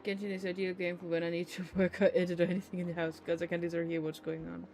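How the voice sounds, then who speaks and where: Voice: medium pitch, completely flat delivery, racing, noisy background. A streamer giving casual, rambling and blunt commentary on a game, swearing freely.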